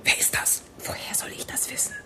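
An actor playing a menacing young fugitive in a radio drama, cocky and volatile, giving curt, hissed threats. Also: male voice, a little fast, pushed and bright, in a whisper. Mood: tense, menacing. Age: young adult.

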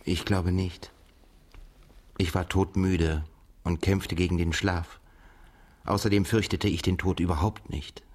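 An actor in a literary radio drama playing a haunted, reflective narrator, courteous and quietly probing, with restrained, formal speech. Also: male voice, sits low, fairly even intonation, measured pace, pauses often. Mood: measured, probing.

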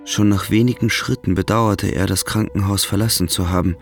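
Low, creaky male narrator. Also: fairly even intonation, a little fast, soft background music. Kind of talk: scripted radio drama narration.

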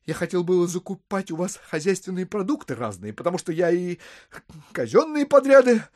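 An actor playing a smooth-talking but irritable gentleman swindler in an audio drama, wheedling and easily exasperated. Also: high-pitched, very animated, fairly quick. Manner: polite wheedling that turns exasperated. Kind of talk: audio drama acting of classic literature.

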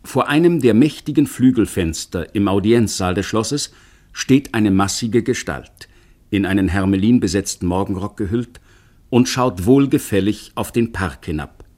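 A man narrating a radio drama in a measured, descriptive way. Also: on the low side, dark tone.

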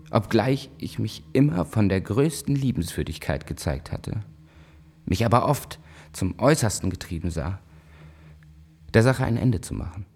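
A man playing a proud, resolute emperor recalling negotiations in a husky, measured voice for a radio drama. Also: lowish voice, darkish tone. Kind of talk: dramatized reading of historical memoirs.

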